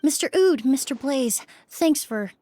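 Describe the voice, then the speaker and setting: A woman's voice: sits high, lively intonation, a little slow, warm tone, slight background noise. A woman voicing a poised, polite young perfumer in a video game, speaking in a composed, articulate and courteous way.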